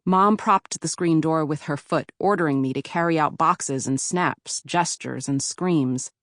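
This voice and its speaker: Low-voiced woman reading her memoir. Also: a little slow, a bit bright, dry recording, clean studio sound. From Philadelphia, USA. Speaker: author narrating her memoir. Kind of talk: audiobook memoir narration.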